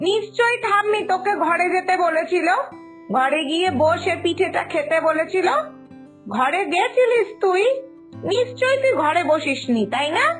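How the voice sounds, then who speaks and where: A knowing cartoon mother played by a woman with a high voice. She admonishes and reproaches in moral-tale fashion, with faint music behind her. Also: expressive, normal speed. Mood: suspicious, teasing. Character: scolding, knowing mother of a spoiled girl. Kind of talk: animated moral-story cartoon acting.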